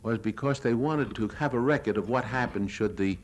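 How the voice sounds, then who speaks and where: An older American man giving a lecture, narrating his own war film in a measured, plain-spoken, reminiscing way. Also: lowish voice. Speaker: newsreel reporter giving a lecture. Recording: 1970s archival lecture audio recording.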